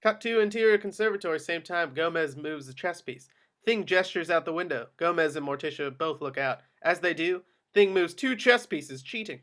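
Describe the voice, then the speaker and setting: Male stage-directions narrator, fairly high voice, scripted screenplay read. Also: moderate pace, a bit bright.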